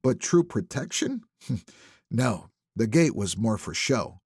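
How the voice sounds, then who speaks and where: A man narrating a first-person thriller audiobook in a wry, conversational, expressive manner. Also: medium pitch, slow, breathy edge, no room sound, clean studio sound.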